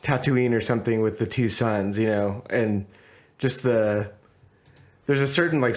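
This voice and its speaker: A man's voice: fairly low pitch, a little mellow. A man co-hosting a book podcast, musing thoughtfully in casual chat. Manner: thoughtful, musing, with many fillers. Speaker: podcast co-host.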